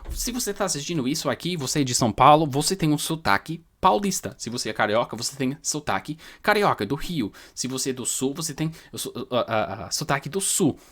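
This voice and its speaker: A man's voice: medium pitch, animated, brisk, few pauses, fairly bright tone. A man from New York, a native English speaker with an American New York accent, teaching pronunciation informally to viewers, explaining with repeated, stressed words. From New York, United States.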